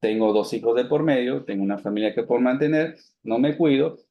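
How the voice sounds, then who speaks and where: A man's voice: medium pitch, fairly quick, highs cut off. A man in his thirties from Peru giving a medical lecture to students, explaining step by step, asking and answering his own questions, with many fillers and restarts. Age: 30s. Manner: many fillers like digamos and esto, frequent restarts. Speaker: physician lecturer.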